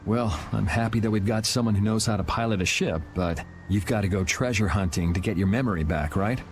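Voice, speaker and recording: A man playing a calm, blunt young vigilante swordsman in a video game, laid-back and matter-of-fact. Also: fairly low pitch, brisk, noisy background, natural voice. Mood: relaxed.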